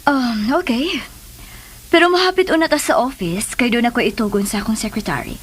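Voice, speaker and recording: A woman's voice: a little high, a little fast, breathy edge. An actress playing an indulgent, affectionate working mother in a radio drama, easygoing in manner. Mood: indulgent, cheerful.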